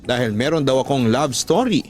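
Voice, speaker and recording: A man with a Filipino accent narrating a scripted story as a radio drama host, in an emotive, deliberate storytelling delivery. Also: mid-range voice, expressive. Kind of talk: scripted dramatic narration of letter-sender stories. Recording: voice over background music. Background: music.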